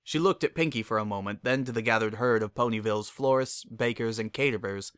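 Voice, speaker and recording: A man's voice: on the low side, brisk, fairly bright tone, smooth, no echo, clean studio sound. A man narrating a scripted dramatic reading of a story.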